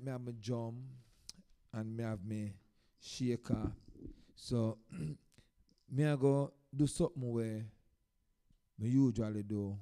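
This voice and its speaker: A man's voice: on the low side, mellow. A man with a Jamaican accent speaking in Patois as a performing poet, telling stories to an audience in short phrases with emphatic swells. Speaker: dub poet performer introducing a live event. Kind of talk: informal stage talk, storytelling to an audience.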